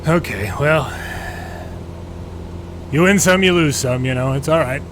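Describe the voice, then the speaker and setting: Sardonic American man over clear background noise. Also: medium pitch, measured pace. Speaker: gaming video host, pilot and former air traffic controller. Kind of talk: casual game commentary. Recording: steady background hum and noise.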